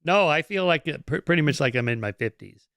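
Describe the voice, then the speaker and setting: Old guy podcast host, gravelly voice, relaxed storyteller, dry sound. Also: a little high, brisk, no echo. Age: 70s. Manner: relaxed storytelling, frequent restarts. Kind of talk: casual interview chat. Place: home study with bookshelves. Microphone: headphones with boom microphone.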